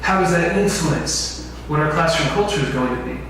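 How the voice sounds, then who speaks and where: A man's voice: a little high, animated, moderate pace, fairly bright tone. A man teaching a lecture to a class, often putting questions to the room. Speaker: instructor. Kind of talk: info session lecture. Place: large echoey lecture room. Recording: very echoey room, distant mic, faint noise.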